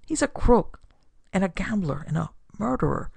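A narrator voicing a distressed, earnest young woman with a halting, pleading manner in an audiobook story. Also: mid-range voice, very animated, creaky, darkish tone, slightly rough, no room sound, heavily acted.

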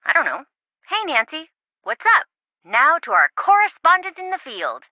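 A woman voicing a quirky, sarcastic, excitable young reality-show contestant in a video game, with playful theatrical quips. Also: high voice, normal speed, no room sound, lo-fi sound. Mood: wry, chatty.

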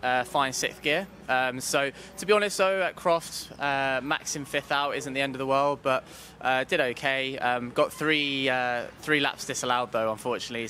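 A man's voice: mid-range voice, bright, forceful tone, slight background noise. A man in his thirties, a racing driver, chatting casually into a handheld microphone in a paddock tent. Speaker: amateur racing driver being interviewed. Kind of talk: casual interview chat. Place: inside a paddock awning tent. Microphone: handheld interview microphone.

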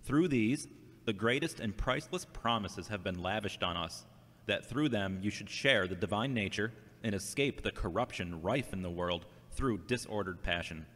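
A man's voice: on the low side, lively intonation, normal speed, fairly bright tone. A man acting an earnest, troubled and devout man in an amateur audio drama. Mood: reverent. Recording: echoey hall with long reverb.

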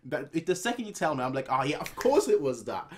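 A man's voice: a little high, sing-song, fast, slight background noise. A man in his twenties giving casual, unscripted reaction commentary from a home room, rambling and repeating his phrases. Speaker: reaction video host. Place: small home room indoors.

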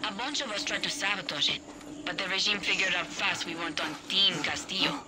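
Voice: medium pitch, fairly quick, noisy background, natural voice. An actor playing a dry, clever hacker ally. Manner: dry, matter-of-fact.

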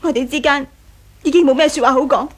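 An actress playing a wronged, resolute young wife in a 1980s radio drama, with a Hong Kong Cantonese accent. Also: female voice, sits high, quick pace, close to an everyday voice. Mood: cold, hurt.

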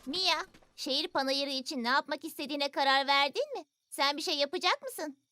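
A woman's voice: sits high, expressive, brisk, sharp tone, faint music behind. A woman voicing an upbeat, helpful little preschool child with a cheerful, inquisitive manner in a children's cartoon dub.

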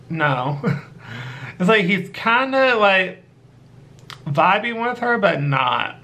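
A man in his thirties talks to camera as an informal vlogger. His voice sits a little high and has a bright, forward edge. The delivery is casual and rambling, with halting stretches as he recaps. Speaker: vlogger reviewing anime episodes. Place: living room at home, indoors.

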